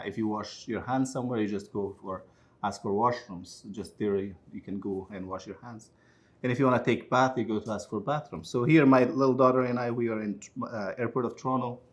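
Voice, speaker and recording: A middle-aged man from Afghanistan, an English speaker, telling a story in conversation, animated and laughing at his own anecdotes. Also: lowish voice. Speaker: storytelling guest.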